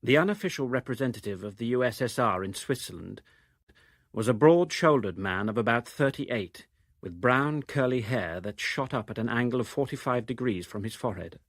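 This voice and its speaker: A man narrates literary prose from a script. His voice sits at an ordinary male pitch. The recording is dry and close, with very little room sound. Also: lively intonation, moderate pace, no room sound. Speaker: reader of novel extracts.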